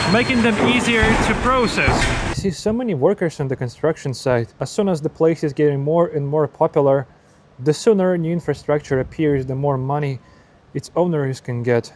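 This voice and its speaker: A man giving unscripted travel commentary as a vlogger, explaining what is around him and often rephrasing himself. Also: high-pitched. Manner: explanatory, repeating and rephrasing phrases. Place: mostly outdoors at a tourist site.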